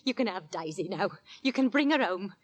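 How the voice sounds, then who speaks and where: An actress playing an anxious, deferential Victorian landlady, a wife and mother, in a 1940s radio horror drama, with a slightly husky voice. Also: medium pitch, animated, lo-fi sound, close to an everyday voice. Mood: brisk, relieved. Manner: servile and eager to please, brisk with husband.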